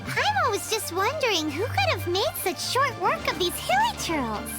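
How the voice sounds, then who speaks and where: A tiny female game fairy with an extremely high, childlike voice. She is excitable and chatty, with lively swoops in pitch. Loud music plays behind her. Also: moderate pace, exaggerated voice. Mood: curious. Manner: speaks of herself in third person, exclamatory.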